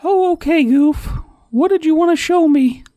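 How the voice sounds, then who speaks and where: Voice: high-pitched, moderate pace, warm tone, lightly acted. A performer voicing a cartoon character in an acted comedy skit. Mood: curious.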